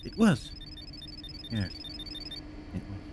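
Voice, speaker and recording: Guy acting an eccentric sci-fi adventurer man, lowish voice, flippant and curious, noisy backdrop. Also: huge pitch swings, takes it slowly, full character voice. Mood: cheeky, excited. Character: whimsical, eccentric male time-travelling adventurer scientist. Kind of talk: science fiction audio drama acting. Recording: noticeable background noise.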